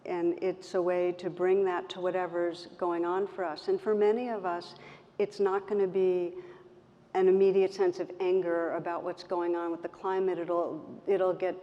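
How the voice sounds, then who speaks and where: A woman with a soft voice speaking gently and reflectively as a meditation teacher, in measured phrases with pauses. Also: fairly low pitch, little pitch movement. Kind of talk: reflective talk in an on-stage conversation. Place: event stage in a hall. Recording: roomy hall, muffled, background noise.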